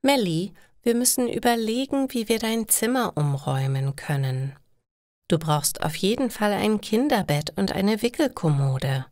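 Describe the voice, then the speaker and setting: Lady doing a caring mom in an audio story, gentle, practical, reassuring, talks really slow. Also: mid-range voice, sing-song, no room sound, studio quality, pitched above the performer's own voice. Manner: gentle, encouraging. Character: caring, practical, reassuring middle-aged mother. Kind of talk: acted dialogue in a slow learner's audio story.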